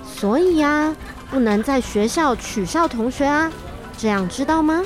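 A woman's voice: high-pitched, lively intonation, a little slow, soft background music. An actress playing a curious, caring mother at a night market stall in a children's radio drama. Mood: gently instructing.

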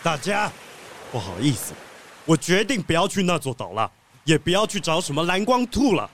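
An actor playing a kindly, principled gentleman treasure collector, earnest and expressive, in a children's audio drama. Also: on the high side, normal speed, slight background noise.

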